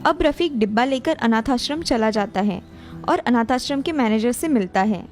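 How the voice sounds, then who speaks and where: A woman's voice: a little high, lively intonation, moderate pace. A woman reading a scripted children's story as narrator, with music behind her. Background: background music.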